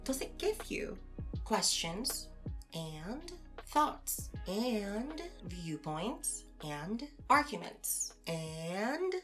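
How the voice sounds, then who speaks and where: A woman performing a scripted, conversational debate for an audio drama podcast, with an expressive, theatrical delivery. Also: mid-range voice, extremely slow, soft background music. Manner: theatrical, expressive, asks rhetorical questions. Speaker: podcast host performing both sides of a scripted dialogue.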